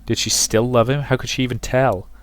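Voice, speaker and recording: A man's voice: medium pitch, little pitch movement, fairly quick. A man commentating on and reading aloud from a visual novel as a let's play host.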